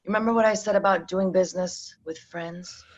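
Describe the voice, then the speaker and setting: A woman reading a calm, menacing female crime boss at a pilot table read, who speaks quietly and slips into threatening whispers. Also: lowish voice, normal speed, lo-fi sound, close to an everyday voice.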